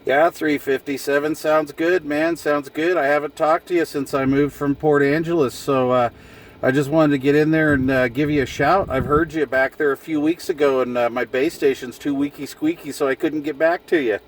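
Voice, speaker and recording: A man's voice: fairly high pitch, fairly even intonation, moderate pace. Folksy man on CB radio, off-mic. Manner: folksy, jokey. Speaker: CB radio operator filming a vlog. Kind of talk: casual CB radio chat with radio slang. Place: outdoors in a vehicle on a hillside. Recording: distant mic with background noise.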